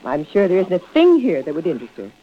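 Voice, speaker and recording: A woman's voice: fairly low pitch, huge pitch swings, fast talker. An actress playing a suspicious, blunt woman loyal to her best friend, arch and confrontational, in old-time radio drama style. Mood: uneasy, arch. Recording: dull old radio recording.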